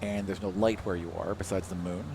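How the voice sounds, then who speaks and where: Man game-mastering over clear background noise. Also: sits low, flat delivery, fairly quick, a little mellow. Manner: conversational, improvised narration. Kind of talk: narrating a tabletop game.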